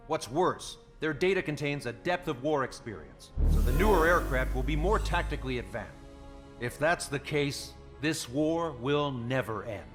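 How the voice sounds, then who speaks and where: A man's voice: fairly high pitch, fairly bright tone. An actor playing a resolute squadron commander in a video game, briefing his pilots in a firm, grave, rousing manner.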